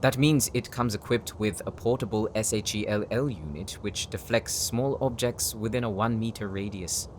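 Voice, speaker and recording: A man's voice: on the low side, moderate pace, few pauses, slight background noise, natural voice. A man playing a polite, articulate demon hunter who likes to show off in a fantasy audio drama. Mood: explanatory, confident. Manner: courteous, articulate, explanatory. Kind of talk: fantasy audio roleplay acting. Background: music.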